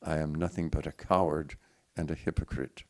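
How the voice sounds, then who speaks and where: A man's voice: a bass voice, fairly even intonation, moderate pace, dry recording. A man voicing an anguished, dramatic French mother in a storybook reading. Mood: vehement, self-reproachful.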